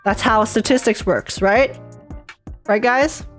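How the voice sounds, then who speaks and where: A woman hosting a casual gaming livestream, chatting excitably, teasing herself and repeating phrases for emphasis. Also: a little high, slow pace, soft background music. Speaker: livestream host and gaming reactor. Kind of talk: casual livestream chat and trailer reaction.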